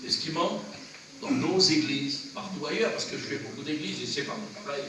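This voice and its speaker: A man with a cold and a runny nose preaches earnestly in short, exhorting phrases. His voice sits a little high and sounds distant, caught from across a very echoey church. A clear hum of noise runs under it. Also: fairly quick. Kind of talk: sermon. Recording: very echoey church, from far off, noisy.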